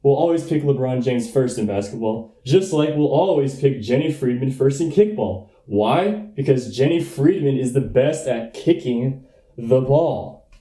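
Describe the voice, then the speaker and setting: Smug kid politician, played by a young man: dark soft tone, folksy bluster, comic radio play, roomy echo. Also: mid-range voice, animated, measured pace, natural voice. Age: young adult. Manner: folksy, bombastic politician delivery. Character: smug, blustering schoolboy candidate for class president.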